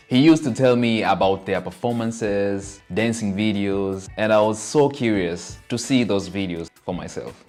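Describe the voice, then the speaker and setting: A man in his twenties from Tanzania, telling his life story to his channel in an earnest, animated way. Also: fairly low pitch. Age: 20s. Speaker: content creator. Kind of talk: personal storytelling to camera.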